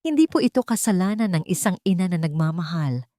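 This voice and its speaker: A woman's voice: lowish voice, expressive, fast, warm tone, no room sound, clean studio sound, lightly acted. Weary, defiant wife, voiced by a woman. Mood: tender, shaken.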